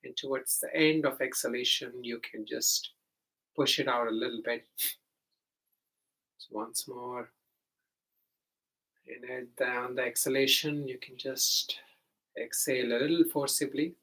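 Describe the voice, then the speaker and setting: A man with a medium-pitched voice leads a guided meditation. He explains each step simply and gently, pausing and hesitating as he goes, in the manner of a teacher instructing students. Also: steady tone, rough recording.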